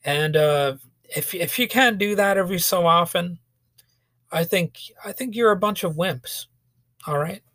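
A man hosting a solo podcast, ranting sarcastically with lots of fillers and restarts, his voice a little hoarse from a cold. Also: high voice, a little slow, fairly bright tone, no echo, studio quality. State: slightly hoarse, getting over a cold. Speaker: podcast host. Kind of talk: solo opinion podcast monologue.

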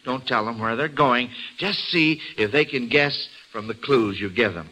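Bright, forceful old-time radio quiz host, male. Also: medium pitch, normal speed. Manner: folksy, theatrical scene-setting, exclamatory. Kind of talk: classic radio quiz show hosting, staged storytelling to children. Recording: noisy vintage radio broadcast recording.

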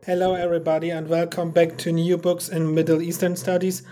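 A man hosting a podcast, welcoming a guest and asking hesitant, halting questions. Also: on the high side, monotone, over music. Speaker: podcast host. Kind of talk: interview host questions.

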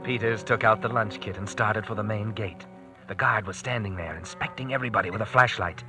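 An actor playing a grim, needling thriller narrator in a classic radio drama, clipped and suspenseful. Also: male voice, lowish voice, a little fast, over music, natural voice.

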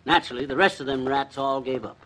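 An actor playing a blustery, boastful husband in an old-time radio comedy, bossy and excitable. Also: male voice, medium pitch, fast, slight background noise, natural voice, low-quality recording. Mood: cocky.

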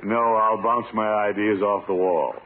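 An actor playing a pompous, easily flustered middle-aged town commissioner with a blustering, sputtering manner in an old radio comedy. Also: male voice, lowish voice, steady tone, unhurried. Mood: indignant, exasperated. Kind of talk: 1950s radio situation comedy acting. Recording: tinny, noisy old radio recording.

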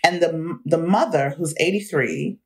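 A woman's voice: low voice, unhurried. A woman in her forties, talking as an interview guest in a reflective, earnest way that breaks into easy laughter. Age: 40s. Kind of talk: candid conversational interview.

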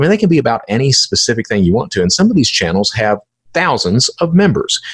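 A man's voice: medium pitch, fairly bright tone. A man hosting a solo explanatory podcast, talking emphatically, repeating phrases and posing questions to himself. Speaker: podcast host explaining cybercrime.

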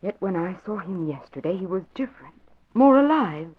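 An actress playing a troubled, soft-spoken young woman in a 1940s radio drama. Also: mid-range voice, expressive, a little fast, close to an everyday voice. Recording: tinny thin old radio recording.

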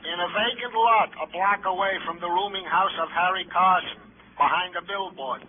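A man's voice: high-pitched, moderate pace, natural voice. An actor playing an eager, formal, long-winded policeman in a 1950s radio crime drama. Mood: eager, reporting. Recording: tinny, noisy old radio recording.

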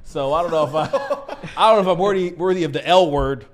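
A man's voice: on the high side, animated. A man in his thirties, a podcast guest in a studio, chatting and joking with frequent laughter. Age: 30s. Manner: laughs often, quick jokey asides. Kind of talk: casual comedic chat.

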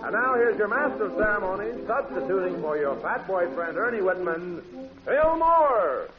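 A man's voice: high-pitched, sing-song, moderate pace, muffled recording. A man announcing a 1940s radio variety show in grandiose, jokey, flowery jive patter over music on an old recording. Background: loud music.

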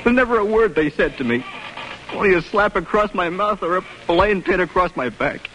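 A man's voice: high voice, animated, fairly quick, a bit bright, slight background noise, low-quality recording. An actor playing a frightened, respectful fifteen-year-old sailor boy in an old radio drama. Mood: bitter, distressed. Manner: earnest, deferential. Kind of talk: 1940s radio acting.

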